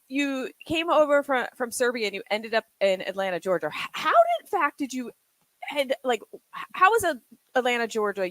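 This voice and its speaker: A woman host asks curious, rambling questions that stumble and restart. Her voice sits a little high and rises and falls a lot. The sound is close and dry, with no room echo. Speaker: podcast host. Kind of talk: casual interview chat.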